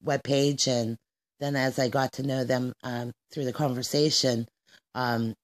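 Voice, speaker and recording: Low-voiced woman hosting radio. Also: steady tone, a little slow. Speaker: radio show host. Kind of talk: informal radio presenting.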